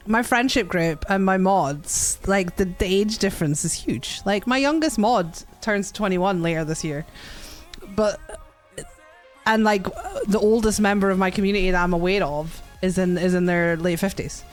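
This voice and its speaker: A woman, hosting a casual podcast chat, talkative and quick to laugh. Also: medium pitch. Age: 40s. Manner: chatty, laughs often, swears casually. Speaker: podcast host and streamer.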